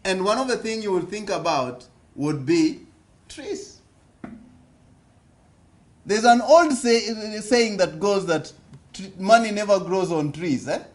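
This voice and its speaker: A Kenyan man with a fairly high voice addresses a room at a business forum. He speaks slowly and deliberately, putting questions to the audience and going back over his points. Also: lively intonation. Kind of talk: interactive seminar talk. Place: conference hall.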